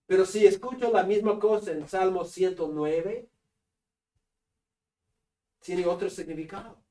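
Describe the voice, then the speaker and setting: A man with a northern Peruvian Spanish accent giving a seminary class lecture, joking with students and pausing on fillers. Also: high voice, a little mellow. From Peru. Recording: echoey classroom from a distance.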